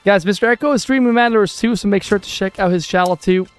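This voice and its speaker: A man's voice: sits high, fast talker, clean tone, faint music behind. A young man from southern Sweden with a Swedish accent, chatting casually with viewers while streaming a strategy game. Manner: chatty, thanks viewers and reads chat aloud. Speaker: gaming livestreamer. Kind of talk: casual live-stream chat over gameplay.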